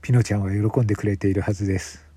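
A man's voice: on the low side, steady tone, racing, dark tone, breathy edge, dry recording. A man playing a polite, earnest young suspect in a radio drama, devoted and unrepentant. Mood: calm conviction.

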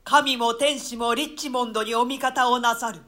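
An actor voicing the vengeful ghost of a murdered nobleman, speaking in slow, solemn curses in a radio-play reading. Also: extremely high pitch, steady tone, sharp tone. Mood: encouraging.

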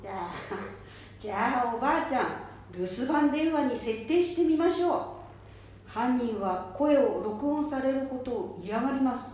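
A woman acts as a patient community advisor in an amateur radio play, explaining politely. Her voice is fairly high and soft-edged, very mellow in tone. It echoes strongly around the room. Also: expressive, normal speed. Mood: instructive. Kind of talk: amateur radio drama, instructive. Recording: very echoey room, faint noise.

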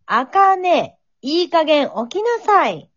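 A woman's voice: very high voice, very animated, slow pace, a bit bright, no room sound, dull audio, exaggerated voice. A woman playing an impatient, nagging mother in an amateur radio drama, calling and chiding in a sing-song way.

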